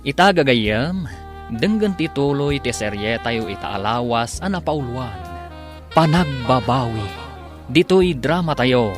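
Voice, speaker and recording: A man performs as a radio drama announcer with a middle-pitched voice. He introduces the serial in scripted narration, with music loud underneath him. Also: expressive, a little slow, close to an everyday voice. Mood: solemn, presenting.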